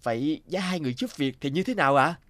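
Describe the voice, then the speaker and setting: A man's voice: on the high side, expressive, fast talker, fairly bright tone, no echo, studio quality. A man voicing a disgruntled, condescending young husband and breadwinner in a cartoon. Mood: incredulous. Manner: grumbling, condescending. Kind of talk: animated moral-tale voice acting.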